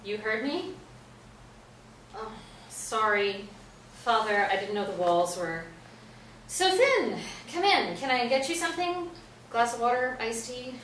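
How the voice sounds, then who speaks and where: A woman's voice: on the high side, expressive, measured pace, pauses often, a bit bright. An actress in a staged play reading playing an anxious, fussy woman playwright, curt and exasperated. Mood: flustered. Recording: echoey stage, distant mic, noisy room.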